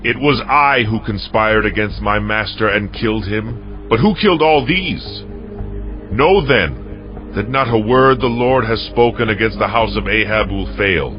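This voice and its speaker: Man playing deep-voiced, fierce warrior king. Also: unhurried, lightly acted. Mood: stern, challenging. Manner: declamatory, stern, imperious delivery. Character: Jehu, fierce, commanding warrior king of ancient Israel. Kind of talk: dramatized scripture audio drama. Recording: dull muffled audio under music.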